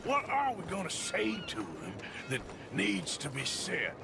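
An actor playing a weary, gruff middle-aged outlaw enforcer who talks bluntly. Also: male voice, on the high side, huge pitch swings, background noise, exaggerated voice. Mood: worried. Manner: gruff, blunt, slow-spoken. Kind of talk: video game cutscene acting.

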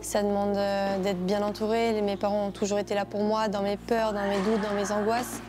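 A woman's voice: medium pitch, level tone, a little fast. A woman in her twenties, a tennis player, talking personally in a documentary interview. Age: 20s. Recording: music bed under the voice.

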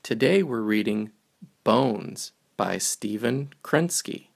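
A man narrating a picture book for young children, reading it aloud in simple, deliberate phrases. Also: fairly low pitch, animated, creaky.

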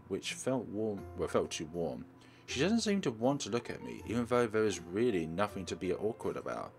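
Man reading as a low-voiced, guarded high school girl. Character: guarded, self-effacing high school girl heroine, confiding. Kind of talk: visual novel narration in character.

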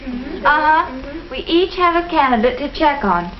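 A young woman playing a calm, matter-of-fact teenage club member in a 1950s school film. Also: high-pitched, unhurried. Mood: cool. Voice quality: cool, matter-of-fact manner. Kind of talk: 1950s educational film acting. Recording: muffled old film soundtrack with chatter around.

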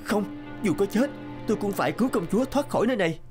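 An excitable young cowherd hero. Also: male voice, sits high, fast. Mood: resolute. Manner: earnest, excitable. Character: brave, crafty young male cowherd hero. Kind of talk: cartoon fairy-tale acting. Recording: music under the voice.

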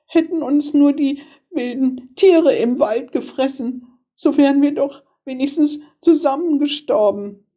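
A poor little storybook girl, played by a woman, tearful and pleading. The voice is lifted high above everyday talk, with a husky catch to it. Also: slow pace, mellow. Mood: wailing, despairing. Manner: plaintive, pleading. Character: poor young girl, tearful, frightened, pleading fairy-tale child. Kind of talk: fairy-tale storybook character voice.

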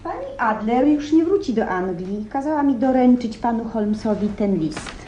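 An actress playing a reserved, deferential household servant in a radio play, matter-of-fact in manner. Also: female voice, a little high, lively intonation, normal speed, dark tone, dull audio, natural voice. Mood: polite, flat. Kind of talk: Polish radio play acting. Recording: roomy, distant, faint background noise.

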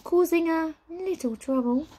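A woman's voice: very high voice, a little slow, mellow, voice pushed up. A woman voicing a sly, mischievous witch in a storybook, drawling with airy, mock-sweet theatricality.

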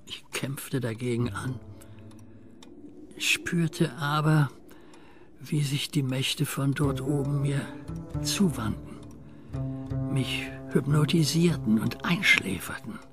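An earnest old neighbour in a radio drama. He takes his time over each phrase, burdened and protective, with a measured delivery. Music plays clearly behind him. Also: mid-range voice. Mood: grave, weary. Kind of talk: radio play acting and first-person narration.

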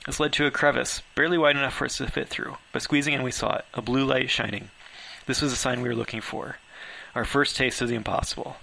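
A man narrates a first-person story in a home recording. His voice is sharp and forceful, with a creaky edge, and his reading is informal and slightly unpolished. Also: medium pitch, steady tone, quick pace, a little hiss or hum, poor audio. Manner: stumbles over words, laughs while reading. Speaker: author reading own story aloud.

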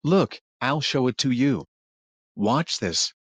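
A man's voice: mid-range voice, animated, takes it slowly, breathy edge, no echo. A man voicing a swinging crooner lamppost in a cartoon parody, complaining in a laid-back way. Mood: casual complaining. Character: swinging crooner Christmas lamppost with a sore throat.

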